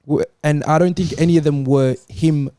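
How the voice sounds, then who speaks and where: A man's voice: on the high side, fairly even intonation, normal speed, mellow, dry recording, clean studio sound. A man in a casual, insistent sports debate, arguing his point as a talk show panelist. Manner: argumentative, insistent.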